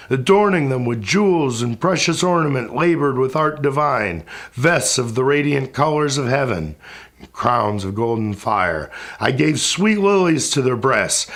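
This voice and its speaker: A man's voice: mid-range voice, fairly bright tone, voice pushed up. A man playing a wrathful, sorrowing ancient spirit king in a recited epic poem, speaking in a grand, commanding, declamatory way. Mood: lamenting. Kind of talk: dramatic epic verse recitation.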